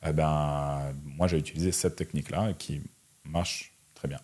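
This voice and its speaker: A man's voice: extremely low pitch, fairly even intonation, normal speed, darkish tone. A man in his twenties from Russia, answering interview questions in a casual, slangy, conversational way. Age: 20s. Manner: informal, slangy, frequent "tu vois" tags. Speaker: interview guest, entrepreneur and online content creator.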